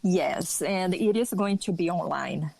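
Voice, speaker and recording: A middle-aged woman with a Brazilian Portuguese accent answers interview questions slowly and carefully. She pauses on fillers, and her voice sits a bit low. The sound is dry and close. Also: creaky, darkish tone, no echo. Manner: measured, hesitant with fillers, occasional light laugh. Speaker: interview guest. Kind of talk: podcast interview.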